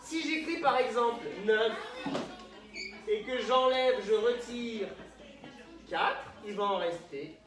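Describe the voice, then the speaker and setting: A man's voice: very high-pitched, a little slow. Man as playful teacher, stuffy-nosed. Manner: playful, coaxing, encouraging with children. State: stuffy nose from a cold. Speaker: kindergarten teacher. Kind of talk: playful classroom lesson with young children. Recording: echoey room, voice a bit far from mic. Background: children talking nearby.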